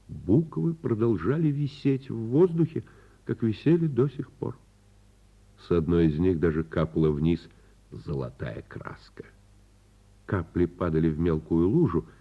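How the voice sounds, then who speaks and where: A man with a husky voice narrates a children's fairy tale as a radio play, theatrically and unhurriedly, drawing out words. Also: low voice. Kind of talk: expressive radio storytelling for children. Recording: muffled lo-fi radio recording. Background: music.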